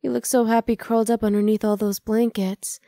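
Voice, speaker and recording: A woman's voice: a little high, little pitch movement, fairly quick, warm tone, no echo, close to an everyday voice. Close, dry, teasing half-dragon girlfriend voiced by a woman. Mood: affectionate. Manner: casual, coaxing, affectionate teasing. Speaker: ASMR roleplay voice actor. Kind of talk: ASMR roleplay acting.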